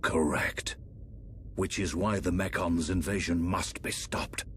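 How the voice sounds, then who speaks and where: Deep-voiced, solemn fantasy emperor. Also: male voice, a little hiss or hum. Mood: grave. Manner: formal, measured, grave. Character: solemn aging emperor, stately fantasy ruler and father. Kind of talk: dramatic video game voice acting.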